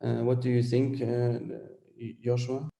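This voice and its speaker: A man, a veterinary surgeon, answering webinar questions haltingly with many fillers. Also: medium pitch, completely flat delivery, gravelly, mellow. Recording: roomy echo.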